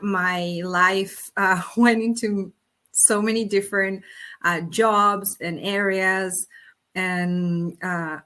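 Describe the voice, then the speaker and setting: A woman's voice: mid-range voice, bright, forceful tone. A woman from Argentina whose first language is Spanish, a company executive answering interview questions over a video call, earnest, with many fillers and restarts. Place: Brazil.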